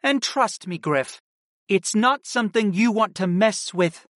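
A narrator voicing a stern, secretive aunt who speaks sharply and impatiently. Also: female voice, mid-range voice, animated, measured pace, no room sound, clean studio sound, voice pushed up, natural voice. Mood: insistent.

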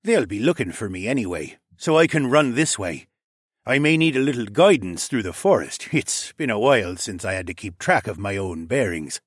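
A man's voice: on the low side, lively intonation, moderate pace, slightly rough, no echo. A self-assured male dragon fighter who is ready to help, played by a male narrator. The delivery belongs to a fantasy audiobook, recorded dry and close. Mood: willing, assured.

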